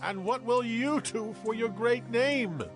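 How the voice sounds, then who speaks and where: An actor playing a solemn, fervent Israelite military leader in a dramatized Bible production. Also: male voice, very high voice, unhurried. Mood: anguished pleading. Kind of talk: dramatized Bible audio acting. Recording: loud musical score behind. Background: music.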